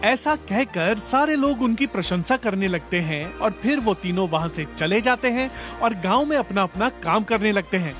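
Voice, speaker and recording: A man's voice: sits high, lively intonation, normal speed, few pauses, fairly bright tone, soft background music. A man narrating a scripted moral story for children as a storyteller.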